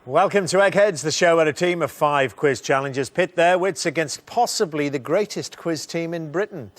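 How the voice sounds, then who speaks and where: A man's voice: on the high side, moderate pace. A man hosting a television quiz show, presenting and putting questions to contestants. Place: TV studio. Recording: faint music bed behind. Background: music.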